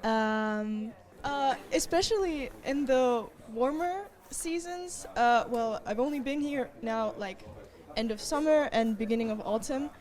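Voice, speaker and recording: A young woman student answering interview questions, thoughtful and measured, pausing often. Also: a little high, darkish tone. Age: young adult. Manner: thoughtful, with frequent pauses and fillers.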